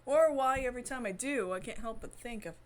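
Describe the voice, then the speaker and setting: A woman's voice: fairly high pitch, a little fast, lightly acted. A woman streamer reading a visual novel voices a jaded, world-weary clairvoyant investigator narrating in the first person.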